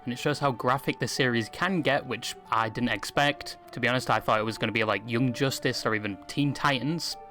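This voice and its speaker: A man's voice: mid-range voice, brisk, hardly any pauses, bright, forceful tone. A man narrating a scripted video review, opinionated, with crude joking asides. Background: faint music.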